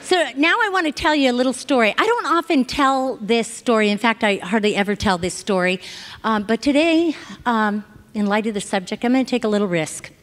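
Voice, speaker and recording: American lady reverend doing a chatty sunday talk, kinda high voice, echoey hall, keeps asking little questions. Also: fairly quick. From Hawaii, United States. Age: middle-aged. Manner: animated teaching, frequent check-in questions to listeners. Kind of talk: informal, conversational sermon. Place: large indoor spiritual center, speaking to a congregation.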